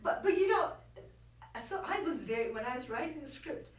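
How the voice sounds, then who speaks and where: A woman's voice: high voice, lively intonation, normal speed. A middle-aged woman with an Indian accent, talking conversationally as an interview guest and repeating phrases for emphasis. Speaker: interview guest, actress and filmmaker. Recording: echoey room, distant mic, faint noise.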